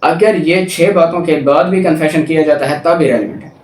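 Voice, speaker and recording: A man's voice: a little high, fairly quick, darkish tone. A man with a Pakistani accent giving an explanatory law lecture, didactic and repeating points for emphasis. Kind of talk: explanatory lecture, alternating reading aloud and explaining. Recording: echoey room, distant mic.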